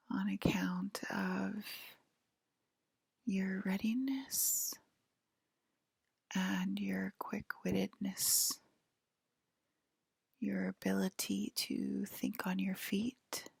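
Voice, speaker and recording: Female ASMR roleplayer, hushed breathy whisper, heavy vocal fry, attentive alien examiner, frequent pauses, soft delivery. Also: medium pitch, no room sound. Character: polite, attentive female alien abductor queen acting as medical examiner. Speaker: ASMR performer.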